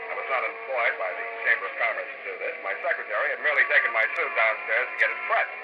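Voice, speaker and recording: An actor playing a wry, hard-boiled private detective in a deadpan, sardonic 1940s radio style. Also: male voice, medium pitch, racing, music in the background, lightly acted. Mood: wry narration. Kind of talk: 1940s radio acting, first-person narration and dialogue. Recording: noisy, muffled old-time radio recording.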